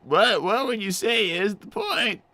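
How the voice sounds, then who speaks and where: A boyish cartoon fox lad, put on by a performer in live roleplay, with a bright, animated voice. He stammers and waffles bashfully, circling around what he means. The sound is dry and close. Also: medium pitch, a little slow, dry recording. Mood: earnest, defensive. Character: bashful, evasive, boyish young male fox. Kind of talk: improvised live character roleplay.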